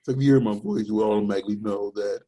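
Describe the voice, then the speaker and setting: A young man with a deep voice in casual podcast chat, rambling and searching for words with many fillers. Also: racing, dark tone.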